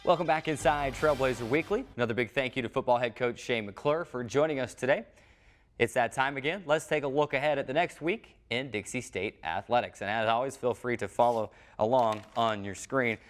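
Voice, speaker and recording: A man's voice: medium pitch, brisk, soft background music. A man presenting a sports show as its host.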